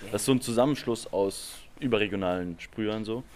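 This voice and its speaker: Relaxed slangy man outdoors. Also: lowish voice, a little fast. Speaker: graffiti artist guest. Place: outdoors under a bridge. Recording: outdoor noise behind.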